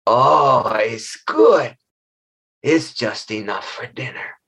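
A towering, greedy ogress who hunts children for dinner, played by a man telling the tale. She talks slowly and gruffly, in a low, husky, menacing voice. Storybook monster with a big, hungry presence. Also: a bit bright, heavily acted. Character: giant greedy child-eating ogress, female.

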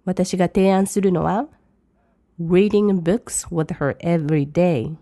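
A woman who teaches English, reading each English line slowly and then translating it briskly. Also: on the low side, fairly even intonation, dark tone, dry recording, clean studio sound. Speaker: language teacher. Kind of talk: bilingual lesson commentary.

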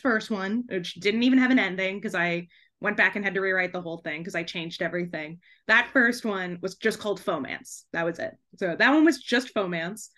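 A woman talking casually as an interview guest, chatty and animated, often interrupting herself mid-thought. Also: mid-range voice. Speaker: podcast guest, debut author.

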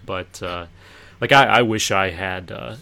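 Man, low-pitched, podcast co-host, casual discussion. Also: a little slow, creaky, bright, forceful tone. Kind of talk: casual chat about anime.

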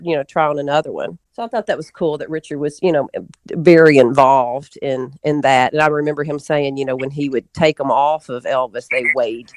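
A woman's voice: deep voice, fairly even intonation, a little fast, low-quality recording. A woman telling stories as an interview guest in a casual, rambling way, often doubling back mid-sentence. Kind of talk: casual interview storytelling, reminiscing.

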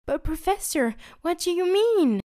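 A woman's voice: big pitch swings, measured pace, mellow, full character voice. A woman voicing a small, timid boy toothbrush who panics easily, in a storybook reading. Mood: desperate. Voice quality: high, breathless squeals when panicked. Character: timid little boy toothbrush, anxious and pleading.

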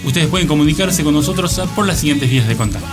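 A man's voice: fairly high pitch, expressive, quick pace. A man with an Argentine accent hosting a radio show with casual, mock-formal banter. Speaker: radio host. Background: guitar music.

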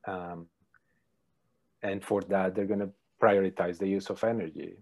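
A middle-aged man, a professor answering interview questions thoughtfully, pausing often with ums. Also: deep voice, fairly even intonation, darkish tone. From Colombia. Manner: thoughtful, hesitant with many ums. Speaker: professor, podcast guest.

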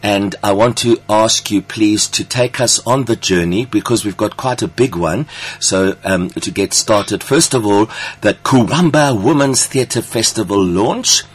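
An effusive man presenting a radio interview. His voice sits a little low and has a slightly husky grain. He introduces his guests with generous compliments. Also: unhurried. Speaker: radio host.

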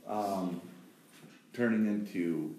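A man's voice: low-pitched, drawn-out words, a little hiss or hum. Encouraging man leading a group, echoey room. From Wisconsin. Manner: encouraging, lively, laughing often. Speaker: group leader. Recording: roomy echo.

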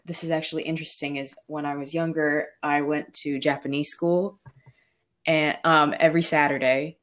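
A young woman in casual conversation, reflecting on her own experiences with frequent pauses and fillers. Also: sits low, level tone, a bit bright. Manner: reflective, personal storytelling with fillers. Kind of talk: casual discussion.

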